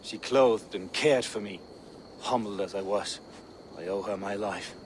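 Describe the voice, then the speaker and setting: An actor playing an intense, devout Viking seer, solemn, poetic and deliberate, in a video game cutscene. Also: male voice, on the low side, background noise, lightly acted. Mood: haunted, reverent.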